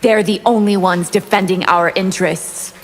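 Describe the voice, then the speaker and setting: A woman's voice: medium pitch, fairly even intonation, moderate pace, lightly acted. A woman playing a haughty, hungry vampire from another realm, cold and seductive in a video game. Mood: defiant. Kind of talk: video game fight intro banter. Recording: game audio with background noise.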